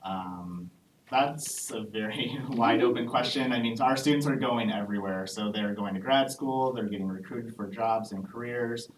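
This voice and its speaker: A man working as an admissions officer who hosts a live Q&A panel and explains things in a friendly way, with frequent "um" pauses. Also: on the low side. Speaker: assistant director of international undergraduate admissions. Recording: echoey room, distant mic, faint background noise.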